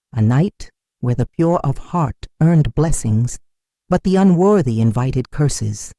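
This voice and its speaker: A narrator delivers a scripted folktale with a solemn, dramatic air. The voice is dark and mellow, at a middling pitch, and very dry and close, with no room sound. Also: no room sound.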